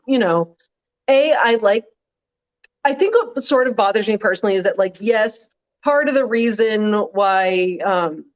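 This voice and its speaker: A woman chats wryly, tossing off quick asides in a rather deep voice. The recording sounds a little dull and muffled. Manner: chatty, wry, quick asides with laughs. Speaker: co-host. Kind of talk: casual podcast chat.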